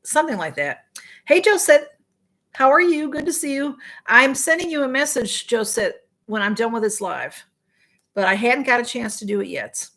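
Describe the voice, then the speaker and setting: A woman's voice: a little high, animated, normal speed, fairly bright tone. A tired middle-aged woman, chatting casually on a livestream, rambling with fillers and greeting viewers by name. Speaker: livestream host. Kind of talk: casual chat with live viewers.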